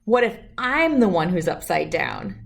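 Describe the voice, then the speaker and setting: A woman's voice: fairly low pitch, big pitch swings, measured pace, gravelly, heavily acted. A woman voicing a curious young boy in a children's storybook, asking questions inquisitively.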